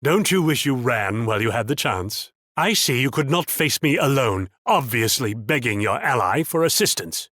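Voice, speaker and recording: An actor playing a proud, wealthy military ruler in a video game, pompous and condescending in a theatrical way. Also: male voice, medium pitch, fairly bright tone, no echo, clean studio sound. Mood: taunting. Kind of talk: video game character voice lines.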